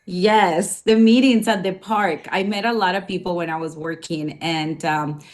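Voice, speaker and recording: A woman with a Spanish accent speaks in a somewhat low voice. She tells her stories in a relaxed, chatty way, with many small fillers. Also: moderate pace. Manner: warm storytelling, many fillers. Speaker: guest, former nanny. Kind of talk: casual interview.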